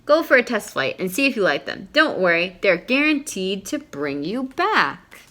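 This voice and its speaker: A woman's voice: mid-range voice, very animated, moderate pace, pushed and bright. A woman voicing a cheerful, helpful little shopgirl in a storybook, polite and chatty. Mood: bright, encouraging.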